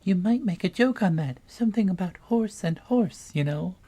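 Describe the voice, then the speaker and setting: A man voicing a tiny, sad insect with a high, thin, plaintive voice in a storybook reading. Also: lively intonation, normal speed, mellow. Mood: wistful.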